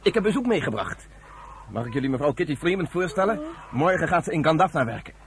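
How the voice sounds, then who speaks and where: An actor playing a steady, affectionate young farm son in a radio drama, earnest and reassuring. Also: male voice, fairly high pitch, fast, a crowd in the background. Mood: proud, earnest. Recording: noisy old radio play with farm animal sounds.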